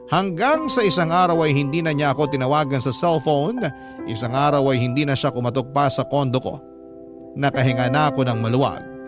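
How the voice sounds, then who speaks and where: A man narrating a radio drama in the first person, reflective and measured. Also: medium pitch. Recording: narration over music.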